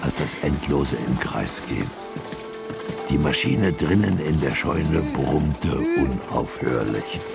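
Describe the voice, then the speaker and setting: A man serves as the narrator of a literary radio drama. His voice is extremely deep and gravelly, with animated rises and falls over prominent music. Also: slow pace.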